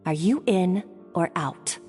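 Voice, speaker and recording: A woman's voice: lowish voice, animated, slow pace, warm tone. A woman playing a proud, combative young rival chef in a video game, taunting and challenging. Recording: reverberant hall, music behind.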